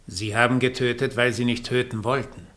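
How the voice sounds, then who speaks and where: An actor playing a cold, calculating doppelgänger in a 1960s German radio play, speaking in an imperious, deliberate, cutting manner. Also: male voice, medium pitch, steady tone, a bit bright, natural voice. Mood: cold triumph. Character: Diego, cold calculating doppelgänger, a man who schemes death.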